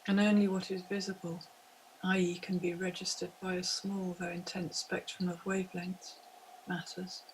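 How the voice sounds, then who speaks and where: A woman leading a guided meditation in a measured, hypnotic way, with deliberate phrasing and pauses. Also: on the low side, flat delivery, a little hiss or hum, poor audio. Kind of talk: guided meditation, scripted narration.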